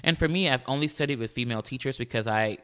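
A man who sings countertenor, speaking in a high voice, talking earnestly and warmly in a casual interview. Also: fast talker, clear, resonant tone. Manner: earnest, warm, with frequent restarts. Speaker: countertenor singer, guest.

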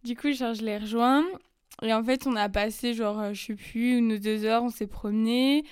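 Young woman podcaster, casual and chatty storyteller, lots of fillers; crisp tone, dry recording. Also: on the high side, fairly even intonation, darkish tone, no echo. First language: French. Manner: chatty, many fillers and quick asides. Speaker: podcast host. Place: lives in Germany.

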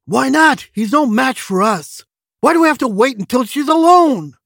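Whiny eager crook sidekick, really high n scratchy, crime audio drama. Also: male voice, animated, normal speed, gravelly, a bit bright, no echo, clean studio sound, full character voice. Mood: whiny, impatient. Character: eager, dim criminal henchman, whiny and questioning.

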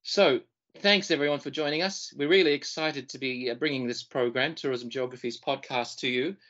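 A man's voice: mid-range voice, normal speed, fairly bright tone, highs cut off. A man co-hosting a podcast, raised in Fiji and Australia, introducing himself in a thoughtful, searching way and often repeating words as he thinks. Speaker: podcast co-host. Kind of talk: casual self-introduction.

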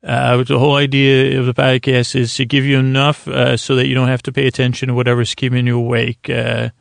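A middle-aged man with a creaky voice hosts a sleep podcast, giving a gentle, soothing bedtime monologue that meanders, halts and interrupts itself. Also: medium pitch, monotone, fairly quick, no echo, clean studio sound.

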